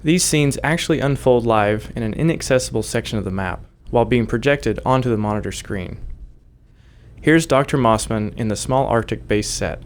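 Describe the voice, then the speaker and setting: A man's voice: mid-range voice, normal speed. A man reading prepared commentary as a game developer. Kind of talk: scripted developer commentary narration.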